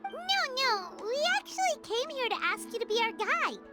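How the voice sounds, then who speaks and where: A woman voicing a small, excitable, childlike fairy girl companion in a video game, squeaky and earnest. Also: moderate pace, loud music behind the voice. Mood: eager. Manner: refers to self in third person, exclamatory.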